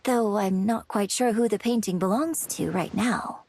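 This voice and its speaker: A woman voicing a gracious, refined young opera singer in an animated game, polite and articulate. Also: medium pitch, normal speed, close to an everyday voice. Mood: curious, admiring.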